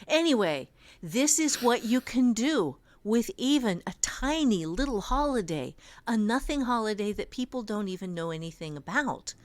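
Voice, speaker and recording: A woman co-hosting a podcast in a casual discussion, explaining things in a measured, deliberate way. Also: fairly high pitch, animated. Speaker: podcast co-host. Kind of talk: casual discussion of fiction writing.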